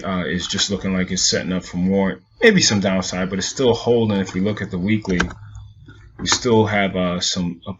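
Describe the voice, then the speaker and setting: Low-voiced man rambling through chart analysis. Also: fairly bright tone, highs cut off, slight background noise. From Jamaica. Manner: rambling, repeats "again" and "so again". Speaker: stock market analyst. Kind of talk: casual market recap over charts.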